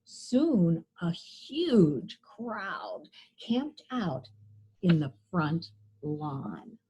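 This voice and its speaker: Expressive woman reading a picture book, slow. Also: fairly low pitch, slight background noise, rough recording. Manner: expressive, deliberate phrasing. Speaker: children's librarian and storyteller.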